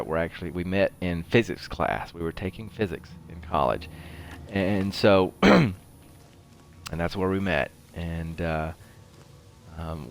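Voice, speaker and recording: A man with a head cold giving casual, folksy gameplay commentary and rambling off into nerdy anecdotes. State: head cold, developing laryngitis. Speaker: gameplay video host. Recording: clear low rumbling background noise.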